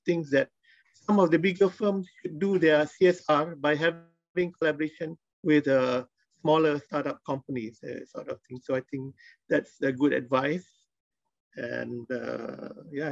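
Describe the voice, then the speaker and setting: A man's voice: a little high, vocal fry, dry recording. A middle-aged man, casually moderating a panel over a video call. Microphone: video call microphone. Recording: dull, lo-fi video call audio.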